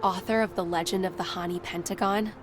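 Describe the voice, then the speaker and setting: A woman's voice: mid-range voice, fairly even intonation, fairly quick, background noise, natural voice. An actress playing a polite, inquisitive female newspaper reporter in a video game, speaking in a probing way. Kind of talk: video game character dialogue.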